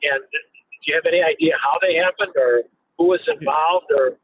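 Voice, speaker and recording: A man's voice: fairly high pitch, normal speed, husky, no room sound. A man calling in from a car to ask a question, searching for his words as he goes. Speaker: audience member asking a question by phone. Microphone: phone. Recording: tinny phone line from a car, faint noise.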